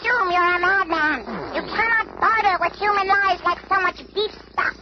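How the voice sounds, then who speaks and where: A performer playing a plucky, excitable tiny superhero with a squeaky voice in an old-time radio serial. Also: moderate pace, noisy background. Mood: defiant. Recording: muffled old radio recording.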